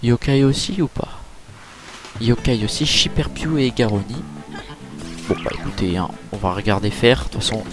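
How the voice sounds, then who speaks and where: A man giving casual, chatty let's-play commentary over a game, full of fillers and quick reactions. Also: medium pitch, animated. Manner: chatty, filler-heavy, easily impressed. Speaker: gaming YouTuber. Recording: game music playing behind.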